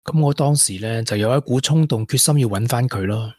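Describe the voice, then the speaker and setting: A man's voice: mid-range voice, a little fast, a little mellow, dry recording, lightly acted. An actor as a regretful Hong Kong man, dry close sound. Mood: wistful. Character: regretful young ex-tech prodigy, confessing to a woman. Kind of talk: Cantonese radio drama acting.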